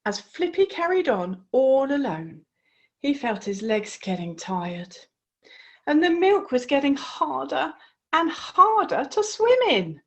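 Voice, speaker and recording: A woman reading her children's storybook aloud in an expressive, encouraging way. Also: high-pitched, slow pace. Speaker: author reading her own book.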